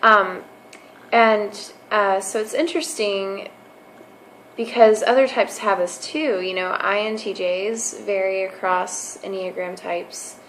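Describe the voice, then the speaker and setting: Hoarse woman musing to camera. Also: medium pitch, steady tone, slow pace, fairly bright tone, slight background noise, low-quality recording. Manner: musing, lots of fillers and restarts. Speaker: vlogger. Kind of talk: casual explanatory monologue.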